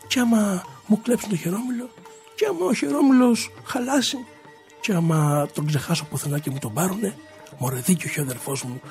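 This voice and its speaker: A man's voice: high voice, a little mellow, soft background music. A man voicing a worried, trusting poor brother in a folk tale, musing to himself.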